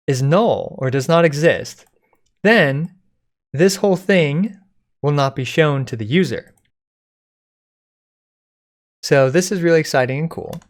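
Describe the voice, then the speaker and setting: A man presenting a code-along software tutorial in an informal, step-by-step explaining way. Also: a little high. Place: indoors at a computer, screen recording.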